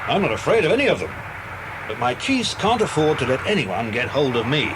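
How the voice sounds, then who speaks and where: An actor playing a genial, reassuring British detective and family friend in a children's audio drama. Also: male voice, very animated, brisk. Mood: hearty, reassuring. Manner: hearty, avuncular. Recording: noisy cassette with vehicle rumble.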